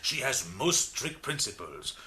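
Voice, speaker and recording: An actor playing a big, expansive, reformed French criminal in a radio drama, with a French accent and an effusive, theatrical manner. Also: male voice, medium pitch, sing-song, unhurried, bright, forceful tone, full character voice. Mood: chatty, animated. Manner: effusive, theatrical, with hesitant asides.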